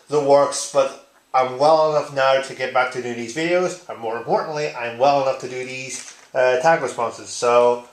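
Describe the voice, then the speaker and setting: A man's voice: medium pitch, echoey room. A man chatting informally and bluntly, giving his answers in a casual vlog style. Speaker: video blogger. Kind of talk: casual tag-response vlog chat. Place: living room, indoors.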